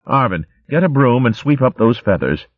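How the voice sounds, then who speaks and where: A man's voice: mid-range voice, big pitch swings, no echo, highs cut off, pitched above the performer's own voice. A man voicing a stern female mouse nurse who scolds and gives orders. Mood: scolding. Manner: scolding, brisk commands.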